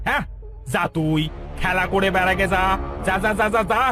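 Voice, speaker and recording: A man playing a gruff, bullying male tree cutter in a cartoon. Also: sits high, moderate pace, close to an everyday voice. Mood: irritated, threatening. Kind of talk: cartoon character voice acting. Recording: music under the voice.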